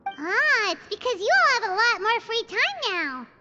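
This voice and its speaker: A woman's voice: very high-pitched, big pitch swings, unhurried, highs cut off, background noise, heavily acted. A woman voicing a tiny, childlike fairy companion in a video game, chirpy and curious. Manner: refers to self in third person, exclaiming. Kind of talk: animated video game character acting.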